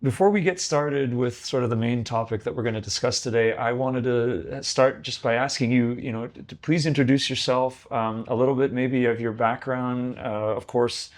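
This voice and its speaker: Man hosting a podcast, halting delivery. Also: mid-range voice, steady tone. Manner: friendly, hesitant with many ums and restarts. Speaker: podcast host. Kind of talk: podcast interview.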